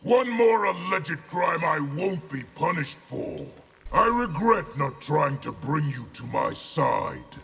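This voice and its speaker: A scornful criminal villain from a video game. The voice is gravelly and fairly high, with a smug, animated delivery. It carries a long reverb. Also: male voice, slow pace, heavily acted. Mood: smug, threatening. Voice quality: raspy, gravelly. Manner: smug, sneering. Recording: reverberant game arena hall.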